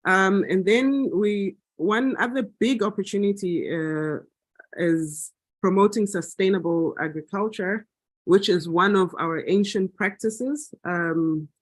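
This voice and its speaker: A man from Zambia, his voice sitting somewhat high for a man and carrying a husky, breathy edge. He talks in an unscripted, reflective way, with frequent fillers and restarts. The recording is dry and close, with little room sound. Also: takes it slowly, no echo. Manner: thoughtful, hesitant, many fillers and restarts. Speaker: meeting participant. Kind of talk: unscripted talk at a planning meeting.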